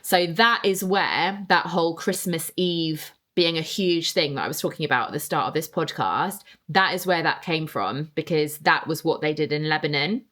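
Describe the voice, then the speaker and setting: Young woman rambling on her podcast, low voice, reading stuff out. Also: moderate pace, few pauses, fairly bright tone. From England. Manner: chatty, rambling, reading listener answers aloud. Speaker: podcast host. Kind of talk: casual solo podcast chat.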